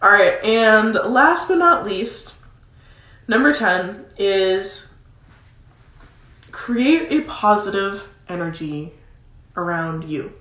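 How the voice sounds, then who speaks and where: A woman speaks as a motivational coach in a casual, emphatic way. Her voice is nasal and stuffed up with a cold, at an average pitch. She repeats key phrases to drive them home, and the room adds a clear echo. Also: expressive, slow, pauses often, fairly bright tone. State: congested with a cold. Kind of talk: motivational advice talk, casual. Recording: roomy, echoing sound.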